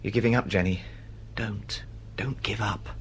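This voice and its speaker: A man's voice: fairly low pitch, fairly even intonation, measured pace, slight background noise, lightly acted. An actor playing an earnest, aggrieved male novelist in a radio drama, pleading and protesting insistently. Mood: earnest, defensive. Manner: earnest, pleading, swinging between protest and hesitation.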